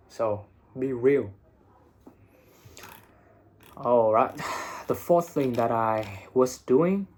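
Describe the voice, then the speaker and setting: Young male vlogger, blunt, casual profanity, mid pitch. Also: darkish tone, slightly rough. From Vietnam. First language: Vietnamese. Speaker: IELTS teacher and vlogger. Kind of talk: casual advice monologue.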